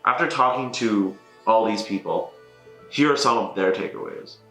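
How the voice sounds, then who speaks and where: Low-voiced man narrating, earnest and reflective. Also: moderate pace, pushed and bright, soft background music, rough recording. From Malaysia. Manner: earnest, introspective. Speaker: documentary narrator.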